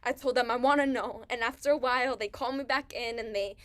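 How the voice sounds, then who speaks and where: Brief: actress as young pregnant woman in grief, halting and hushed, voice sits a bit high. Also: natural voice. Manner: halting, hushed, searching for words. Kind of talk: staged reading monologue.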